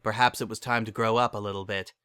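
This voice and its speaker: A man giving an expressive, animated dramatic reading as a story narrator. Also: on the low side, quick pace, clear, resonant tone, dry recording.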